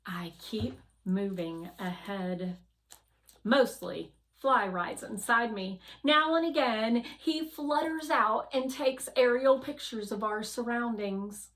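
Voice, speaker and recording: A woman narrating a children's novel aloud in an expressive, deliberate read-aloud style. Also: a little high.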